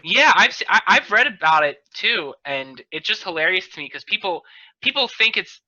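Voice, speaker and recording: A man's voice: high voice, sing-song, normal speed, pushed and bright. A man hosting a casual panel chat, recorded over a slightly dull video call. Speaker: podcast host.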